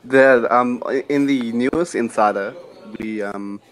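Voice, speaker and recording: A man's voice: mid-range voice, slow, rough recording. A man talking on a live stream in an easygoing, joking way, with frequent "um"s. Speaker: community team member. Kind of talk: casual live-stream chat.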